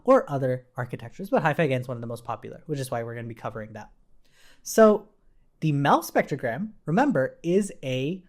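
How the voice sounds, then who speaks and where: A man's voice: on the high side, a little mellow. A man giving an informal technical tutorial in a conversational way, often tagging statements with a questioning check. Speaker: instructor.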